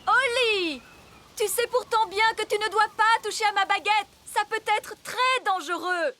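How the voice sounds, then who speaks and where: A woman's voice: very high voice, a little slow, background noise. A woman playing a prim, bossy nanny fairy in a cartoon, lecturing in a pompous, fussy manner. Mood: flustered, indignant. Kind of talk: French-language cartoon dubbing.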